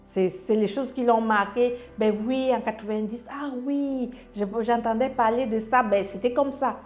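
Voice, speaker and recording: A woman's voice: a little high, moderate pace, a little mellow, faint music behind. A woman from Cameroon with a Cameroonian French accent, sharing a personal testimony in casual storytelling, with frequent fillers and restarts as she relays what others said to her.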